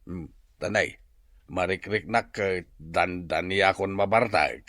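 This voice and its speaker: A man's voice: low-pitched, measured pace, a bit bright, no echo, clean studio sound. A man with an Ilocano accent acting a jovial village drinking buddy in a comedy radio drama. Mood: casual, joking.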